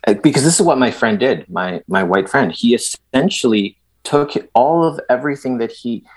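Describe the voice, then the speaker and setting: A middle-aged man from El Salvador, a guest in a conversational interview, talking in an animated way with frequent restarts and emphatic asides. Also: medium pitch, moderate pace, slightly rough, low-quality recording.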